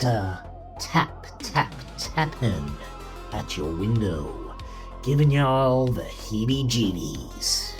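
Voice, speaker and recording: A man's voice: on the low side, heavily acted. An actor playing a creepy, folksy horror-host uncle with a Southern US drawl, teasing and mock-soothing as he introduces a spooky tale. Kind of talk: theatrical spooky storyteller introduction. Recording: music playing behind the voice.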